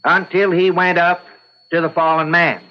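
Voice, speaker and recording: A man's voice: on the high side, flat delivery, natural voice. A man acting a methodical courtroom attorney, formal and persistent in questioning, in an old-time radio drama. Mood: probing, formal. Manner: formal, deliberate, probing. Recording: dull lo-fi vintage radio recording.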